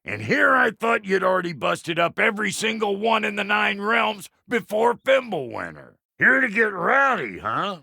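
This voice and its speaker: A man voicing a gruff, foul-mouthed but good-hearted dwarf blacksmith in a video game, with a rough Southern American accent and a blunt, folksy manner. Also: high-pitched, big pitch swings, normal speed, few pauses, bright, forceful tone, no room sound, studio quality, full character voice. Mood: relishing, rowdy. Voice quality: gravelly, rough-edged. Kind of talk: video game character acting.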